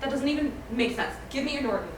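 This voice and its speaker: A woman playing an excitable, dramatic high school girl at a screenplay table read, exclaiming in animated teen speech. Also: fast. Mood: insistent. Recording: echoey, noisy room from far off.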